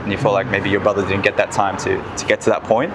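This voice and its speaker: Quick-talking male interviewer, noisy background. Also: lowish voice, little pitch movement. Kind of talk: casual conversational interviewing. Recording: noisy urban background hum.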